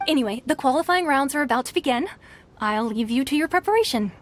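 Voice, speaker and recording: A woman's voice: high voice, animated, fast, noisy background. An actress voicing a polite female tournament official in a video game with a courteous, businesslike manner.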